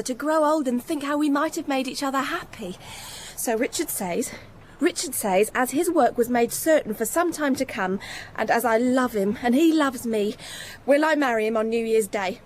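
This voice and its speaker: A young working girl in a radio drama. Her fairly high voice is earnest, loving and gently pleading, over faint background noise. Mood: wistful. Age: young adult. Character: earnest, loving young working-class daughter, hopeful despite poverty.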